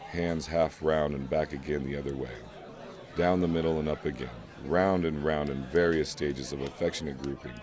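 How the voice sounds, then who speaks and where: Casting: male narrator, very deep bass, literary and deliberate storytelling over music.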